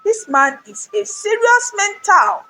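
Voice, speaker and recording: A woman puts on a scornful king from a folktale. The voice is very high and the tone mocking and incredulous. There is noise in the recording. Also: lively intonation, takes it slowly, sharp tone, heavily acted.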